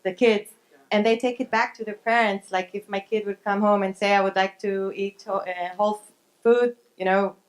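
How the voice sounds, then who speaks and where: A woman on a panel adding her view in a quiet, conversational way, using everyday examples. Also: mid-range voice, fairly even intonation, moderate pace, poor audio. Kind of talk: answering on a panel Q&A.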